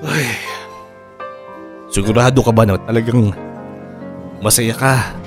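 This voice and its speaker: An actor playing a hot-tempered, remorseful husband pleading for another chance in a radio drama. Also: male voice, medium pitch, fairly even intonation, slow, faint music behind, close to an everyday voice. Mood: pressing, earnest.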